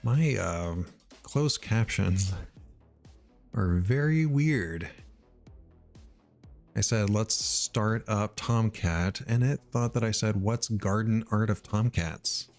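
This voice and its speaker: A middle-aged man from Missouri thinking aloud while he writes code, halting with many ums and uhs. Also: lowish voice, a little mellow, soft background music. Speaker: live-coding streamer.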